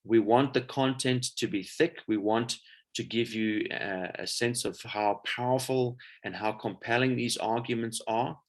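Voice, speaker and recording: A man giving an informal lecture as a guest speaker, relaxed and chatty, often pausing on filler sounds. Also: mid-range voice.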